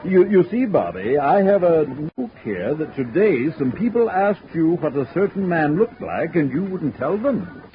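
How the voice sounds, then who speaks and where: An actor playing a patient, coaxing department store Santa who hems and haws to a child, in 1940s radio style. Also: male voice, a little high, very animated, normal speed. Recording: muffled, noisy 1940s radio recording.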